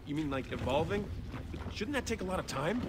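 A fast-talking skeptical soldier. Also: male voice, on the high side, very animated. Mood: doubtful. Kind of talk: video game voice acting. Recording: game dialogue buried in loud music.

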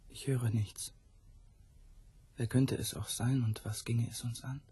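An actor playing a troubled, disbelieving lover in a radio play, soft-voiced, earnest and questioning. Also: male voice, medium pitch, steady tone, measured pace. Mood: puzzled, quiet. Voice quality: soft, breathy. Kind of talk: intimate German radio play acting.

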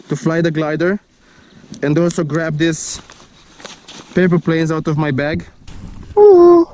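A man's voice: a little high, a little mellow. A man in his twenties talking casually and playfully to viewers in an outdoor vlog, with informal, slightly hesitant phrasing. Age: 20s. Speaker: vlogger, paraglider pilot. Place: outdoors in hills and woods, and in flight. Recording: windy outdoor action-camera audio.

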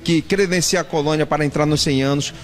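A man's voice: a little high, fairly even intonation, fast. A man answering an interview in formal, rhetorical phrases. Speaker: campaign coordinator, academic. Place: indoor room. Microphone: handheld reporter microphone.

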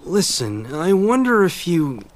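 An actor playing an easygoing, reluctant young lord in a video game dub, with a casual, hesitant delivery. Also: male voice, high-pitched, very animated, unhurried. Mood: weary, reflective.

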